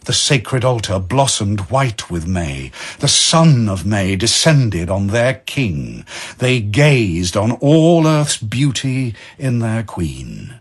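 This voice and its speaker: Male narrator, husky, slow, solemn, measured dramatic verse storytelling. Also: lowish voice, very animated.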